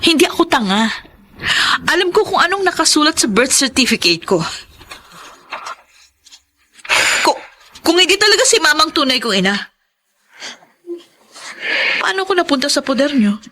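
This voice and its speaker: An actress playing a bitter, scornful young Filipino wife and defiant daughter in a radio drama, biting and melodramatic. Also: female voice, sits high, very animated, normal speed, pauses often, sharp tone, full character voice. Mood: shocked, defiant.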